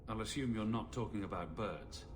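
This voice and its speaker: A skeptical champion of a fantasy game played by a man, with a fairly low voice over noticeable ambient noise. He is plain-spoken and wary, delivering everything matter-of-factly. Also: a little fast, natural voice. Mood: skeptical, dry. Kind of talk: video game character acting.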